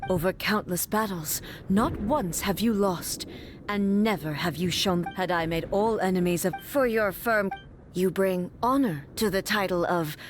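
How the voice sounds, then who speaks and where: An actress playing a solemn warrior goddess in a video game, speaking in a formal, grave, declamatory way. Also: female voice, medium pitch, unhurried, lightly acted. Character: solemn, imperious warrior goddess devoted to eternity. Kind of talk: video game character acting. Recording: game music behind the voice.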